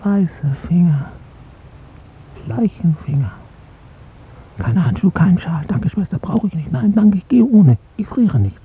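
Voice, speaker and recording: An older actor in a radio drama playing a weary, stubborn old psychiatric patient who speaks in terse, brooding fragments. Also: male voice, high voice, expressive, unhurried, pauses often, narrow, tinny audio, slight background noise. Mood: agitated, defiant. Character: weary, stubborn old male psychiatric patient, former East German dissident.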